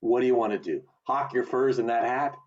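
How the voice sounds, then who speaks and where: A man playing a kindly, plain-spoken small-town loan man in a radio play, folksy and animated, with a husky voice. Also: medium pitch, fairly quick, close to an everyday voice. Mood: warm concern. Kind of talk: community theatre radio play acting. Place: home, over video call. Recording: muffled video call audio.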